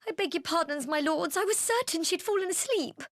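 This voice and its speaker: Very high-pitched, anxious female servant. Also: expressive, a little fast, dry recording, clean studio sound. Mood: distressed, apologetic. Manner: humble, apologetic. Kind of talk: dramatic game voice acting.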